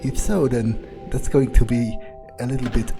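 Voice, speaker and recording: A man's voice: medium pitch, fairly even intonation, moderate pace, creaky, over music. A man narrating a video game playthrough in character, thinking aloud in a hesitant, understated way. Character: calculating, detached vampire mercenary for hire. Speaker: player voicing their character in a let's play.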